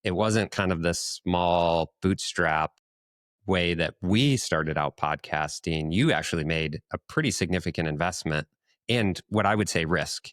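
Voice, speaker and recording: A man's voice: deep voice, unhurried, a bit bright, dry recording, studio quality. A man co-hosting a podcast, asking long, conversational questions in a casual, rambling way. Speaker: podcast co-host.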